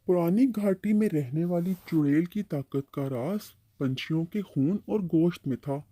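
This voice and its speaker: A man's voice: a little high, measured pace, dark tone, dry recording. A man playing a kindly uncle tree in a bird cartoon, patiently explaining and advising. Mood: explaining, cautioning. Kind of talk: Hindi-Urdu cartoon storytelling dialogue.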